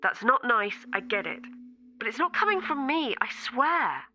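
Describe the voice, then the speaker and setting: A woman's voice: fairly high pitch, big pitch swings, measured pace, bright, forceful tone, exaggerated voice. A woman playing a weary, exasperated ex-wife in an audio drama, firm but placating, heard through a phone effect. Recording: dull, band-limited phone-call sound.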